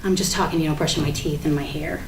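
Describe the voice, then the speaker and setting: A woman playing a defiant, foul-mouthed suspect under questioning in a staged monologue, rambling in a chatty, sarcastic, halting way. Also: low voice, steady tone, distant mic. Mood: rambling, self-conscious. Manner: chatty, sarcastic, halting, breaks into nervous laughs. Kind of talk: dramatic monologue in a staged reading. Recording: echoey room with faint background noise.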